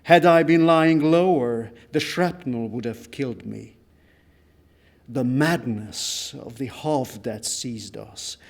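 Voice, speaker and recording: A man giving a dramatic literary reading of a first-person account, solemn and deliberate, with weighty pauses. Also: mid-range voice. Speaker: reader at a book launch.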